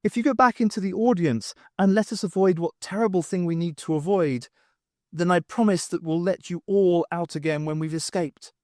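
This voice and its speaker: A man's voice: high voice, normal speed, darkish tone, no echo, clean studio sound, voice pushed up, natural voice. A man voicing an adorable, lovestruck little dwarf in an audiobook, earnest and gushing. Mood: earnest, pleading.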